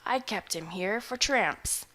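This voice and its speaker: A grizzled old farmer man, put on by a woman reading a storybook, speaking louder than the rest of the reading. He drawls slowly and mournfully in plain country grammar, placid and unhurried, like a gaunt man who has just woken up. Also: medium pitch, animated, full character voice. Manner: slow rustic drawl, ungrammatical country speech.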